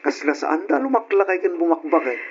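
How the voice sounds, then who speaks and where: An actor playing a cheerful, affectionate young husband in an old-time Ilocano radio drama, jovial and expressive, with chuckles in his talk. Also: on the high side, a little fast, slight background noise, natural voice. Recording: dull, lo-fi, husky radio recording.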